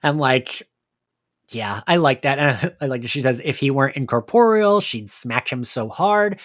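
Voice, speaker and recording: Podcast host doing a casual recap, super animated voice, gushy fan talk, laughs easily. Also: medium pitch, normal speed, dry recording, clean studio sound. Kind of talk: casual chat recapping an audio drama.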